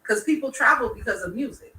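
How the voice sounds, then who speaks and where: Woman, Bahamian accent, emphatic, opinionated, bright tone, echoey distant mic. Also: fairly high pitch, quick pace. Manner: emphatic, opinionated, building to excited peaks. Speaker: podcast co-host, DJ. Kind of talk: casual chat. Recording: roomy echo, mic at a distance.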